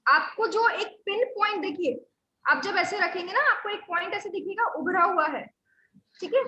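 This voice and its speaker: A woman's voice: very high-pitched, moderate pace, pushed and bright, echoey room, highs cut off, a little hiss or hum. A woman teaching a live online class on acupressure therapy, explaining steps patiently and repeating key points. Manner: patient, repetitive step-by-step instruction. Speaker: Sujok therapy instructor.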